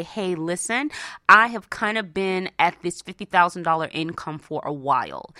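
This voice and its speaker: A woman's voice: on the low side, no room sound, studio quality. A woman hosting a radio show, coaching listeners in a conversational, emphatic way, often checking in with them and acting out imagined exchanges. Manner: animated, emphatic, acts out imagined dialogue; punctuates points with check-in questions. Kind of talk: motivational talk to listeners, conversational.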